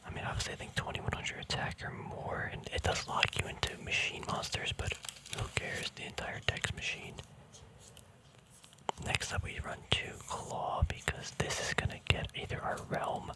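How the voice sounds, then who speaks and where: A man speaking in a breathy whisper in a casual, relaxed ASMR style, rambling through explanations and often correcting himself. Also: normal speed, poor audio. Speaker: host of a hobby card-game ASMR video.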